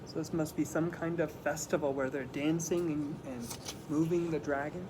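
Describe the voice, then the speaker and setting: High-voiced man reading to children, noisy background. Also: steady tone. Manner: dramatic phrasing, slowing for emphasis. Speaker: children's story reader. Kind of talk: picture-book read-aloud with simple explanatory asides. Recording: clear background noise.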